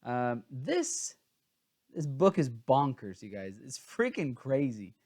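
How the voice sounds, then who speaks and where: A man's voice: medium pitch, sing-song, slow pace, darkish tone. A man chatting casually as he hosts a book review, informal and a bit scattered and hesitant, sounding tired.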